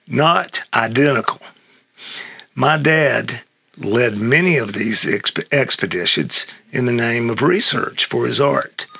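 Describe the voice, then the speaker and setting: An older man reading a humorous memoir essay aloud in a halting way, with a gravelly, husky voice. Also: mid-range voice, animated, sharp tone, dry recording, studio quality. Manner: halting read-aloud with stumbles and chuckles. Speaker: reader of an essay excerpt.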